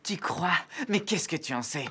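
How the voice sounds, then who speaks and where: A man playing a bitter, sarcastic human boy in a dubbed animated adventure, with a sullen, defiant way of talking. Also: on the high side, moderate pace, a little hiss or hum. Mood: hurt.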